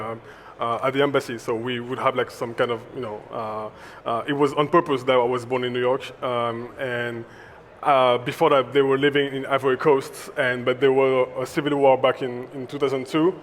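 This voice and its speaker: A man in his twenties from France introducing himself as a motion designer, halting with many fillers. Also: medium pitch, little pitch movement, a little hiss or hum. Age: 20s. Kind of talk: conference panel introduction. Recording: faint background ambience.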